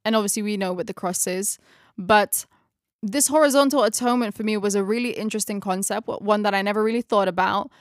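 A young woman with a Tagalog mother tongue leads a bible study in English, her voice sitting at a middling pitch. She speaks reflectively and pauses to search for the right word. The recording is close and dry, with no room sound. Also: no room sound. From Philippines. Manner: reflective, searching for words, laughs at herself. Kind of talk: closing a bible study discussion.

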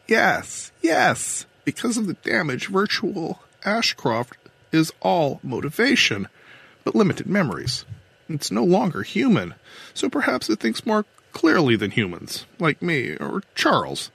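A man's voice: high voice, wildly swooping pitch, a little slow, gravelly, a bit bright, husky, pitched above the performer's own voice, heavily acted. A man voicing a weary, defiant, idealistic older woman who captains a starship in an audiobook. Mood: fervent, defiant. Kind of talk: audiobook character dialogue.

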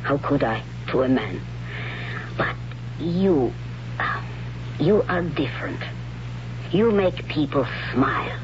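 A stern, solemn priestess of a tribe of warrior women, speaking in a hushed, whispery way, in a mid-range voice. The sound is a dull old radio broadcast with steady hiss behind it. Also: male voice, fairly high pitch, slow, pauses often. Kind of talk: 1970s radio drama acting.

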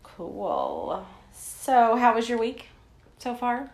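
A middle-aged mother co-hosting a casual chat podcast, teasing and chatty, often pausing on fillers. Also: a little high, wildly swooping pitch, a little mellow. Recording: echoey room.

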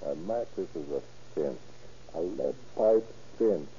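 A man's voice: deep voice, expressive, a little slow, vocal fry, exaggerated voice. An actor playing a cocky, scheming American convict with pushy, slangy tough-guy talk in an old radio crime drama. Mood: scheming, persuasive. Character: cocky, scheming male convict plotting a jailbreak. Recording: dull, noisy old radio recording.

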